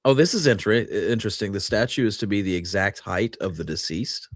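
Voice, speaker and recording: Low-voiced man in a dry, close sound. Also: lively intonation, a little fast, no room sound. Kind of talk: casual chat, brief reactions.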